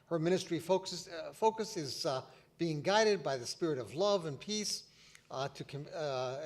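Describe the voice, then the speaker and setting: A man moderating a panel discussion, reading out panelist introductions with many hesitant fillers. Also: fairly high pitch.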